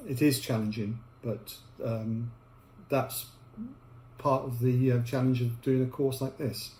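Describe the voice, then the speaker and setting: An older man speaks as an architecture lecturer, answering interview questions in a measured, reflective way. His voice is mellow and unhurried. The sound is echoey, with a faint hum behind it. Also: mid-range voice, flat delivery.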